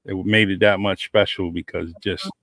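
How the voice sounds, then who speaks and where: A man hosting his own podcast in a loose, rambling way, doubling up words as he goes. His voice sits very low, and the recording is dry and close, with no room sound. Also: fairly even intonation, normal speed, no room sound. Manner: warm, rambling, repeats words, many "um"s. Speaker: podcast host. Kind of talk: casual interview chat.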